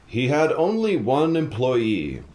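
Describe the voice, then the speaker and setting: A man delivers dramatic storybook narration for a radio play. He takes it slowly, with a mid-range voice that rises and falls expressively. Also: medium pitch, huge pitch swings, a little mellow, poor audio.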